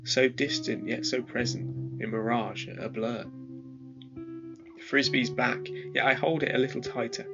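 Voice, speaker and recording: A man with a gravelly, creaky voice reads a spoken word poem in a measured, reflective recital. The recording is slightly muffled and lo-fi, with guitar music playing clearly underneath. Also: medium pitch, steady tone. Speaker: poetry reader. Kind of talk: spoken word poetry reading. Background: soft guitar music.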